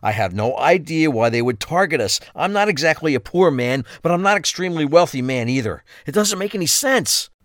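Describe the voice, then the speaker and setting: An actor playing an ordinary husband caught up in a crime audio drama. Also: male voice, fairly high pitch, animated, fairly quick, hardly any pauses, a bit bright, no room sound, clean studio sound. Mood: distressed, defensive. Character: distressed, defensive husband of a kidnapped wife.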